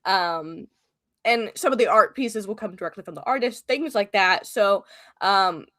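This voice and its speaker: A woman with a mid-range voice co-hosts a casual livestream from home. She rambles in a friendly way and fills her pauses with ums and uhs. The sound is dry, with no room echo. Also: medium pitch, lively intonation, pushed and bright. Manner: rambling and chatty, full of fillers and laughs. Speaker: livestream co-host.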